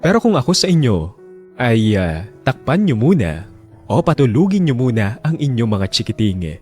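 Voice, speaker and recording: A man's voice: mid-range voice, very animated, moderate pace, darkish tone, soft background music. A man with a Filipino accent reading a confessional letter as a radio drama storyteller.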